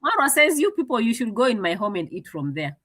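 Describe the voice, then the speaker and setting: Chatty woman commentator, bright and lively delivery. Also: fairly high pitch, fast, slight background noise. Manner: chatty, translating and mocking, laughs often. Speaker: commentator reacting to a clip. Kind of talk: casual commentary.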